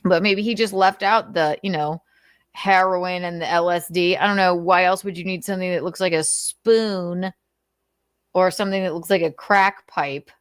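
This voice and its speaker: A woman's voice: lowish voice, fairly even intonation, normal speed, smooth, no room sound, clean studio sound. A woman reading notes aloud with casual, sardonic commentary, swearing freely and talking conversationally. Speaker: host of a commentary show.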